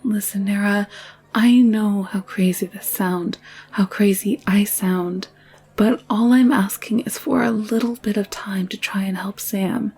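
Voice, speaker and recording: Lady voicing gentle protective alien woman, breathy and near whispering, bit of creak, audio roleplay. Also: medium pitch, steady tone, unhurried. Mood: earnest, soft. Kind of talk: intimate audio roleplay acting.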